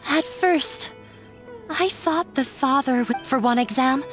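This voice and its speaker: A downcast young student runaway from an anime-style game. Her voice is high, her delivery hesitant and apologetic, with soft music in the background. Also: takes it slowly. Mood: dejected. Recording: faint music behind the voice.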